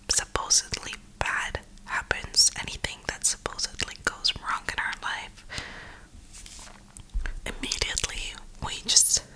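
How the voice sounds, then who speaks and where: A woman speaking in a soft, breathy whisper, giving an earnest, reflective, motivational ASMR ramble close to the microphone. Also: low-quality recording. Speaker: ASMR creator and gamer.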